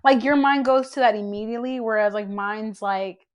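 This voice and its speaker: A young woman co-hosting a podcast, chatting casually with a friend in an expressive, theatrical way and laughing often. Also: on the high side, moderate pace, clear, resonant tone. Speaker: podcast co-host. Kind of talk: casual chat between friends.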